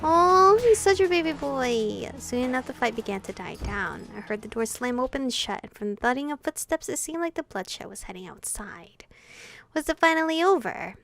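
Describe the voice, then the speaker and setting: A woman hosting a visual novel let's play, reading aloud and reacting in an expressive, sweary, giggly way. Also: high voice, moderate pace, vocal fry. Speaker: gaming video host. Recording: music playing behind the voice. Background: background pop music.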